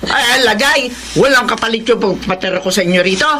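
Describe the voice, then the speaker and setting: A woman's voice: a little high, a little fast, pushed and bright, a little hiss or hum. A woman playing a greedy, bullying but cowardly landlady in a radio drama, speaking with a Filipino accent. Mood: sneering. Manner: sneering, taunting. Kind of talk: Tagalog radio drama acting.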